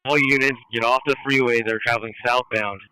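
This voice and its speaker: A man's voice: mid-range voice, steady tone, fairly quick, very noisy. A man giving terse, commanding orders over a police radio, like a supervisor directing units. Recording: police scanner radio transmission.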